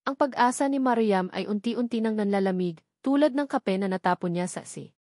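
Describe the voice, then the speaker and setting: A woman's voice: mid-range voice, brisk, a little mellow, dry recording, clean studio sound. A woman with a Filipino accent reads a scripted romantic drama in a storytelling narration style.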